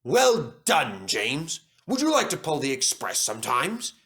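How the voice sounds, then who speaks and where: A man voicing an authoritative gentleman railway boss in a children's story, speaking in a commanding, formal way. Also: a little high, wildly swooping pitch, a little slow, full character voice. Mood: encouraging.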